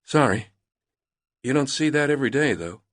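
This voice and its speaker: A male narrator voicing a tired, blunt young woman scientist who calls out sharply over loud noise. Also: mid-range voice, expressive, normal speed, no echo, studio quality, pitched above the performer's own voice, natural voice. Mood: wry.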